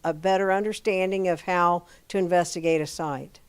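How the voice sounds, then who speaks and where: A woman's voice: fairly low pitch, steady tone, normal speed, clean tone. A woman presenting at a public meeting, hesitant and self-deprecating.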